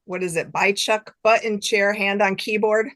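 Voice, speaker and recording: A woman's voice: medium pitch, steady tone, moderate pace, a bit bright, no room sound. A middle-aged woman chatting casually as an interview guest, with a self-deprecating manner. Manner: self-deprecating, chuckles between remarks. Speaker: guest author.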